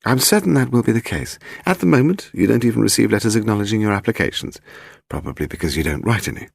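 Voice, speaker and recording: A male reader plays a brisk woman secretary in an audiobook. The voice is lifted above his own, crisp and deadpan with a cutting coolness. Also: on the low side, dry recording, studio quality, pitched above the performer's own voice. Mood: cool, sardonic. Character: cool, brisk female secretary, softly spoken and cutting.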